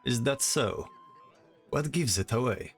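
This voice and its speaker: A man's voice: mid-range voice, lively intonation, unhurried, gravelly, slightly rough, soft background music, pitched above the performer's own voice, heavily acted. A man voicing a stoic, guarded soldier who speaks in a serious, restrained way in a fantasy audio drama. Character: stoic, guarded male soldier focused on his mission.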